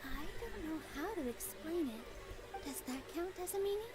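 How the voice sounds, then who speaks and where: A woman playing a sweet, earnest and polite young dancer in a video game, gentle and sincere. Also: high-pitched, animated, moderate pace, loud music behind the voice. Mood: unsure. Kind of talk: video game character acting.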